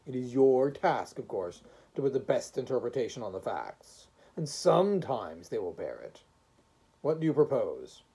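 A stern, unseen judge from a storybook, acted out by a reader. The voice is husky and soft-edged, swinging in pitch while staying formal, exacting and dismissive. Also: big pitch swings, moderate pace. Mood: stern, grudging.

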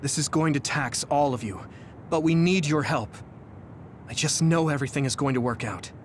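A man's voice: on the high side, moderate pace, slight background noise, natural voice. A man playing an earnest, idealistic young hero in an anime game dub, speaking sincerely. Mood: hopeful, resolute.

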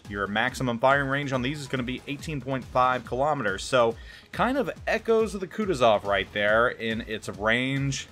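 A man's voice: mid-range voice, normal speed, a bit bright, soft background music. A man giving casual gameplay commentary as a game reviewer, rambling and hesitant, often repeating words.

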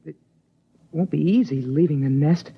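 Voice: medium pitch, moderate pace, creaky, breathy edge. An actor playing an earnest boy who grew up in a sealed shelter, in 1950s radio drama style. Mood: wistful. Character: earnest boy raised in a sealed survival nest, narrating. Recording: muffled old radio recording.

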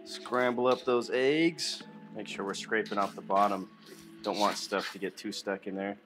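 A young man talking viewers through a recipe in a small studio kitchen set, relaxed and chatty, with music playing underneath. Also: lowish voice, normal speed. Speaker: cooking vlogger. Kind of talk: casual cooking demonstration. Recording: clear background music under voice.

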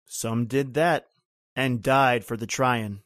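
An actor playing a bitter, grim island native who tells an old horror tale in brooding, rhythmic, folksy phrases, in old-time radio drama style. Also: male voice, mid-range voice, steady tone, takes it slowly, fairly bright tone, no echo, clean studio sound. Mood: grim, resigned.

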